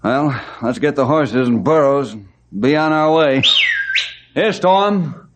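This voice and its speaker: An actor playing a steady, commanding ranger in an old radio western, firm and authoritative. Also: male voice, mid-range voice, slow, dull audio. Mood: resolute. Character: steady, commanding male ranger leading desert party. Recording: noisy old radio recording.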